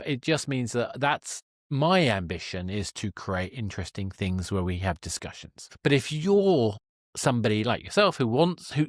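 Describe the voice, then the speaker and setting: A man's voice: medium pitch, no echo, clean studio sound. A man hosting a conversational interview podcast, talking in a reflective, discursive way into a dynamic microphone. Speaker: podcast host and stage presenter. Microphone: dynamic microphone at a desk.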